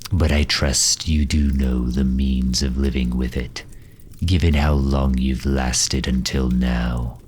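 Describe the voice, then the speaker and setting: A man playing a grave, haughty dark knight who absorbs souls, speaking formally and deliberately with a deep, breathy, husky voice in an audio roleplay. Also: slight background noise. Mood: weary, resigned. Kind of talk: slow dramatic audio roleplay monologue.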